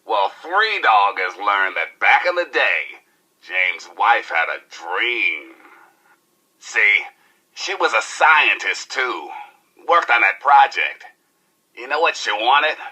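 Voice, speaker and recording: An actor playing a cocky, flamboyant post-apocalyptic rebel radio DJ with an American accent and showy, slangy delivery in a video game. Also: male voice, fairly low pitch, sing-song, sharp tone, slightly rough, heavily acted. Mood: earnest, hopeful. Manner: showy, slangy, drawn-out emphasis with howls. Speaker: radio DJ and newscaster. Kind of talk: theatrical radio DJ patter.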